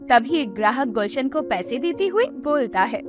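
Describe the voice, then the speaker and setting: Female story narrator: high-pitched, expressive scripted delivery over a light music bed. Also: moderate pace.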